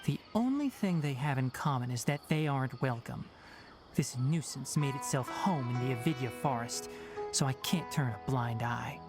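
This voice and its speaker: Man playing a blunt forest watcher, composed, expressive lilt, game music behind. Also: mid-range voice, normal speed, natural voice. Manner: composed, curt, no-nonsense. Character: young male forest ranger, calm and blunt.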